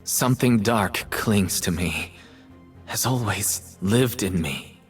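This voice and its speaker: An actor playing a somber, haunted artist mage in a video game, speaking in measured, poetic lines. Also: male voice, on the low side, lively intonation, faint music behind. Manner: slow, measured, poetic delivery.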